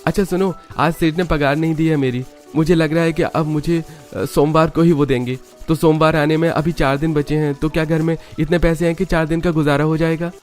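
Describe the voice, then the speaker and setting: A kindly young working father from a cartoon voiced by a man. His voice sits slightly high for a man. Light music plays behind him. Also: fairly even intonation, a little fast, few pauses, lightly acted. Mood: mild, earnest. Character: kindly hardworking young father, a buttermilk seller. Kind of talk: cartoon story acting.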